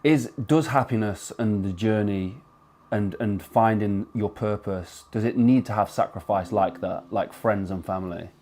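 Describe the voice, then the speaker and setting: A man's voice: fairly low pitch, moderate pace, dark tone, slight background noise. A man hosting an interview, asking questions casually with fillers and restarts. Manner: casual, hesitant, many fillers. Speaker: podcast host.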